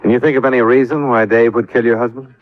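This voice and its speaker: An American actor playing a sardonic, hard-boiled insurance investigator in the dry, clipped style of 1950s radio detective drama. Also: male voice, mid-range voice, fairly even intonation, quick pace, dry recording, close to an everyday voice. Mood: sardonic, probing. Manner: dry, sarcastic, clipped questioning. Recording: muffled vintage radio recording.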